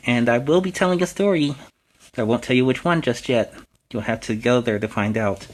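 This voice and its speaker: A man's voice: medium pitch, slight background noise, low-quality recording. An American man hosting a story time for young viewers as a friendly superhero, speaking in an earnest, instructive way with simple phrasing. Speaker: storytime show host in character as a superhero.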